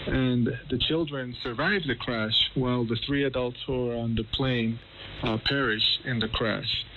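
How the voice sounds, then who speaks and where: A man's voice: mid-range voice, fairly even intonation, a little slow. Male journalist explaining news over noisy shortwave. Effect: shortwave radio. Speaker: journalist interviewed as correspondent. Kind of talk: explanatory live news interview. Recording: faintly noisy shortwave radio broadcast.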